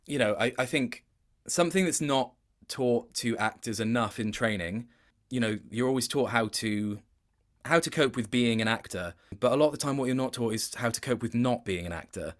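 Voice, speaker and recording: A man giving a casual interview as a voice actor, thoughtful and halting, often interrupting himself. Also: mid-range voice.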